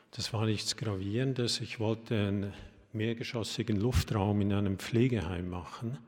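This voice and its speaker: An older man with a Swiss German accent gives a halting opening address, full of fillers and restarts as he checks his notes. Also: fairly low pitch, darkish tone. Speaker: architect giving an opening address. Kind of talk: hesitant welcome speech at an exhibition opening. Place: academy event hall. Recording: long hall reverb.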